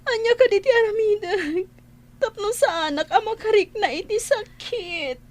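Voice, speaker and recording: An actress playing a lovelorn young woman in a radio drama, with a thin, pleading, drawn-out delivery. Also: very high voice. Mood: tearful, pleading. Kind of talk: Ilocano radio drama acting.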